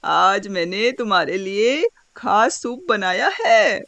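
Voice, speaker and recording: A woman's voice: mid-range voice, expressive, takes it slowly, vocal fry, fairly bright tone, no echo, heavily acted. Woman voicing a sly, sweet-talking female fox. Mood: sweetly inviting. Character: cunning, proud female fox, sweetly mocking.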